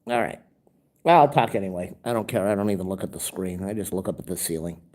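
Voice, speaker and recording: A man's voice: low-pitched, lively intonation, fast talker, a little mellow. A man with a nasal, stuffed-up voice, rambling and grumbling through a casual livestream. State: stuffed-up nose. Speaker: livestream host.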